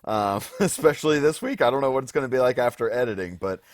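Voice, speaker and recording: A man with a hoarse voice, co-hosting a podcast in casual, wordy chat, thinking aloud as he goes. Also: medium pitch, lively intonation, fast talker, no echo, clean studio sound. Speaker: podcast co-host. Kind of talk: casual unscripted chat about game shows.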